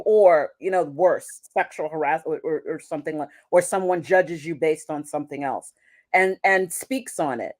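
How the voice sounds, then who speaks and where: A woman with a somewhat low voice, speaking as an interview guest. She thinks aloud, restarts her sentences and often closes a point with a rhetorical "right?". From United States. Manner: reflective, restarts sentences, asks rhetorical questions ending in "right?". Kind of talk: conversational interview.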